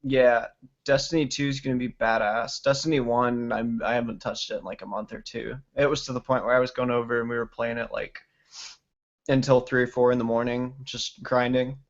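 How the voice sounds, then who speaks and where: A man's voice: medium pitch, level tone, brisk. A man from Texas in casual, slangy gaming chat, talking in a laid-back, rambling way. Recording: dull lo-fi stream audio with noise.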